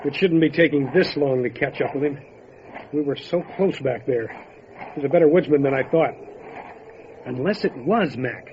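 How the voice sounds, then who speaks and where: An actor playing a steady, resolute county sheriff in an old time radio drama, matter-of-fact in manner. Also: male voice, medium pitch, moderate pace, pauses often, lightly acted. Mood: focused. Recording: dull lo-fi audio with light noise.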